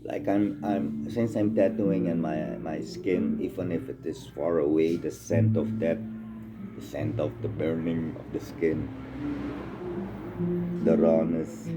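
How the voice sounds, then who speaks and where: A man with a Dutch accent speaks English in a very low voice, rambling through a philosophical spiritual talk. His delivery is blunt and emphatic. Loud plucked guitar music plays over him throughout. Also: fairly even intonation, slow pace, rough recording.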